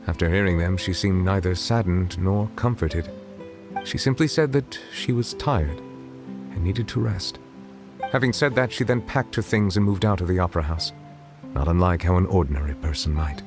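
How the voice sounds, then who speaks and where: A man voicing a solemn, dignified dragon who serves as chief judge in a video game, speaking in a formal, measured, grave manner. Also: low voice. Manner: formal, measured, eloquent, reserved. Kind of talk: video game character dialogue. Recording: game dialogue over background music.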